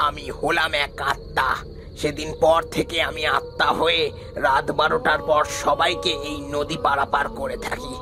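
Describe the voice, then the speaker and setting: An actor playing the gentle, protective ghost of an old boatman in a cartoon, speaking respectfully to the young master. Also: on the high side. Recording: music behind the voice.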